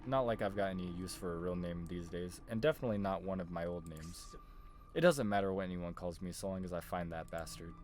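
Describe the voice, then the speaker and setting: A man's voice: deep voice, fairly quick, darkish tone, slight background noise, close to an everyday voice. A man reading the lines of a tough, curt, grumbling former detective from a visual novel. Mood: grim. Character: gruff tough ex-detective, proud, secretly afraid of dogs.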